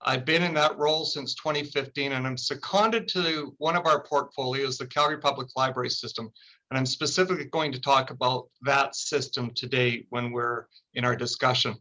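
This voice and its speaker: A middle-aged man, a security advisor on a webinar panel, giving a friendly, measured introduction over a video call. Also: mid-range voice, steady tone, bright, forceful tone, poor audio. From Canada.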